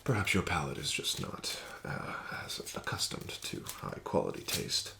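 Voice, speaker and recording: Low, gravelly soft-spoken man. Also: very animated. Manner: slow, soft-spoken dealer patter, smug and teasing, drifting into whispers. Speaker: ASMR roleplay host playing a casino card dealer. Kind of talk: ASMR roleplay. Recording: audible background noise.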